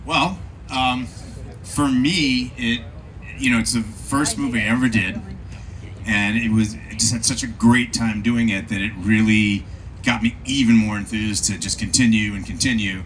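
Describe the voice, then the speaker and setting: Earnest, low-voiced man, halting. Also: steady tone, fairly bright tone, poor audio. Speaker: panel guest, actor. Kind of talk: live convention panel.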